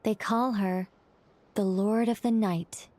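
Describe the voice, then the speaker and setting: A woman playing a gentle female angel in an anime game, polite and formal but easily embarrassed. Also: medium pitch, fairly even intonation, slow, background noise, lightly acted. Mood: earnest, composed.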